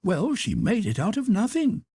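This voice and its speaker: A man's voice: sits high, huge pitch swings, dark tone, breathy edge, no room sound, pitched above the performer's own voice, full character voice. A man voicing a teasing, witty duchess. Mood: playful. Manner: arch, teasing, quick-witted banter. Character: witty flirtatious aristocratic woman, a duchess. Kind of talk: audiobook reading.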